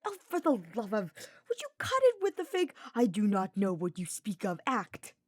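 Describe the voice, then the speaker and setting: Casting: woman playing an easygoing male fantasy knight, informal teaser, raised pitch, close dry sound. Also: wildly swooping pitch, moderate pace, no room sound. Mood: huffy. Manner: slangy, informal, needling. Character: teasing, exasperated, easygoing young male knight.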